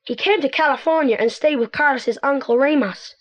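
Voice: sits high, brisk, muffled recording, close to an everyday voice. A young performer playing a confident teenage boy detective in a children's audio play, eager and articulate. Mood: curious.

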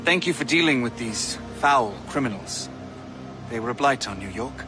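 A man performs an earnest, courteous colonel of a colonial army for a game cutscene. His speech is formal, measured and reassuring, in a mid-pitched voice over clearly audible music. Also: expressive, close to an everyday voice. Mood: calm, reassuring. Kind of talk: video game cutscene acting.

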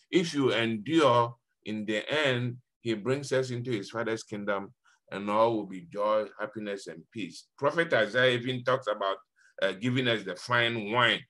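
A Ghanaian man with a bright, forceful voice at an ordinary male pitch speaks as a priest explaining scripture. His first language is Fanti, and his accent carries through clearly. He pauses and repeats words for emphasis as he talks to a small group over a video call. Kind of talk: informal scripture reflection to a group.

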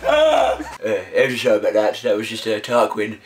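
Deadpan young man giving a jokey tour. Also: on the low side, moderate pace, noisy background. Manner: dry, mock-serious, sudden crude outbursts. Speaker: band member. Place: wooden garden shed and garden outdoors. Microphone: wide-angle handheld camera. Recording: music playing over the voice.

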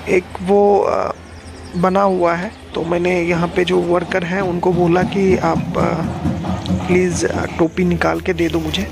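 A young man vlogging on a phone camera outdoors, chatty and excited as he walks around giving a tour. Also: sits high, creaky. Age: young adult. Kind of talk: casual travel vlog commentary. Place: outdoors at a hill stadium. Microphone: handheld phone selfie camera.

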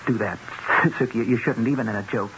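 An actor playing a rich, tipsy, coaxing American gentleman in his thirties with a nervous stammer in a 1970s radio drama. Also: mid-range voice, close to an everyday voice. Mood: nervous, pleading. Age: 30s. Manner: stammering, halting. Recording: lo-fi, faintly noisy 1970s radio recording.

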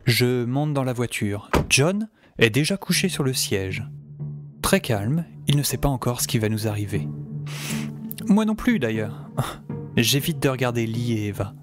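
A man's voice: mid-range voice, big pitch swings, a little slow, over music. A man playing a casual, wry young hero who narrates his adventure in an audio drama. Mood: reflective.

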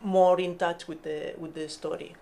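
A woman speaking as a podcast guest in casual interview style, telling stories haltingly and often repeating words. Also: fairly low pitch, flat delivery, warm tone. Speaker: podcast guest, marine scientist.

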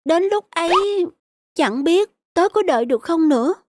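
A woman's voice: extremely high pitch, animated, normal speed, no echo, studio quality. A woman voicing a frail, withdrawn, wistful little girl in a cartoon, hesitant and childlike. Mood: wistful, doubtful. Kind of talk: cartoon dubbing.

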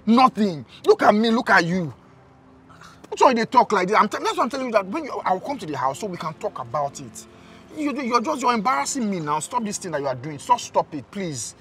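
An actor playing an evasive, embarrassed young boyfriend with a Nigerian accent, speaking impatiently and haltingly in film dialogue. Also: male voice, sits high, very animated, a bit bright. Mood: irritated, dismissive. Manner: impatient, halting, repeating himself. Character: evasive, embarrassed young man brushing off his girlfriend. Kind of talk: film drama dialogue, switching between English and Nigerian Pidgin.

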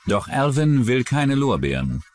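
A man's voice: medium pitch, big pitch swings, a little slow, a little mellow, soft background music. A man reading scripted true-crime documentary narration.

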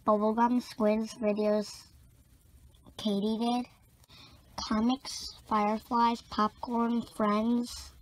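A woman's voice: fairly high pitch, rough recording. A woman reading a children's comic book aloud, expressively acting out the dialogue. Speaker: storybook reader.

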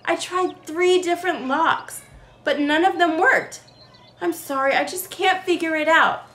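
A woman voicing a sweet, earnest seven-year-old granddaughter in a storybook, expressive and eager. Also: very high-pitched, a little slow, roomy sound, voice pushed up. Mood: disappointed.

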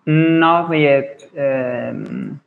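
A man's voice: medium pitch, gravelly, slight background noise. Hesitant young man from Afghanistan, muffled. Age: 20s. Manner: halting, searching for words, non-native phrasing. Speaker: university student practising English. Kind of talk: casual video call chat. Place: indoor room. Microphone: earphone headset on a video call.

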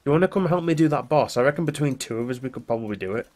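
A man's voice: mid-range voice, expressive, rapid-fire, a little mellow. A man with a stuffy, congested voice from a cold, chatting casually during co-op gameplay. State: has a cold, run down. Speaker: gaming video host.

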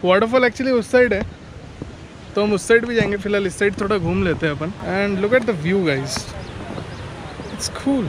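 A man's voice: high-pitched, a little slow, pauses often. A young man giving casual, chatty vlog commentary outdoors, talking to his viewers like friends. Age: young adult. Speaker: motovlogger. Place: outdoors, riding a motorbike and at a rocky riverside. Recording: clear road, wind and engine noise.

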